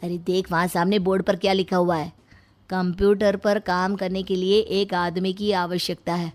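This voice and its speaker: A woman's voice: fairly low pitch, little pitch movement, normal speed, natural voice. Lazy freeloading son-in-law, breezy and wheedling woman's voice. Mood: grumbling, eager. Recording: music behind the voice.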